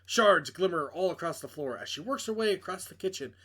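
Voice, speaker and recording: A man's voice: sits high, expressive, a little fast, a bit bright, slightly rough. A man reading a visual novel aloud as dramatic narration, with off-the-cuff asides and stumbles that he corrects. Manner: stumbles over words and corrects himself.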